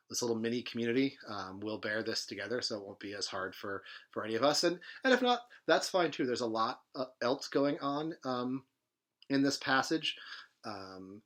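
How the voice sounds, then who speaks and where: A man presenting a book informally, speaking in a reflective, halting way as he feels for his phrasing. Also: mid-range voice, fairly bright tone. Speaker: bookstore presenter introducing and reading a novel.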